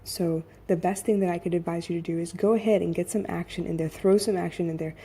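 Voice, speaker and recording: A woman's voice: on the low side, steady tone, quick pace, dark tone, breathy edge. A young woman life coach with a soothing voice, giving a blunt, casual motivational monologue on her podcast and swearing freely.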